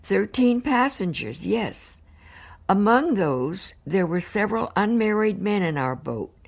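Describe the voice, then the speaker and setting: An actress playing a married woman survivor, giving composed, earnest testimony in a measured, formal, precise way. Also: mid-range voice, big pitch swings, creaky, heavily acted. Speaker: actor reading witness testimony. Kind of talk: dramatised reading of hearing testimony.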